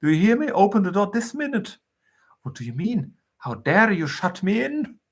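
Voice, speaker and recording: Trapped young lady yelling to be let out, higher voice, guy doing it, bit gravelly. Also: sing-song, normal speed, heavily acted. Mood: angry.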